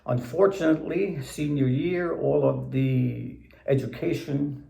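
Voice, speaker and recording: Slow gravelly older man from Puerto Rico. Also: medium pitch, fairly even intonation, mellow, reverberant. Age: 70s. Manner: slow, deliberate, plain-spoken. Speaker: interviewee judge. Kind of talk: measured recollection in an interview.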